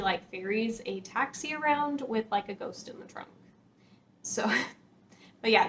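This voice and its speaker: A woman chatting casually to viewers as a book vlogger, informal and conversational, with lots of filler words. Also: on the high side. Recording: clear background noise.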